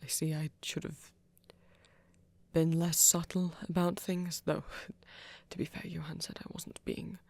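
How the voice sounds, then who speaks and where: A performer playing a flirty, earnest vampire in an ASMR romance roleplay, speaking haltingly and intimately in a husky, often half-whispered voice. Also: medium pitch, little pitch movement, darkish tone, no room sound. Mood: tender, reassuring. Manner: hesitant, halting, breathy and intimate. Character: flirty, earnest vampire confessing love, soft-spoken.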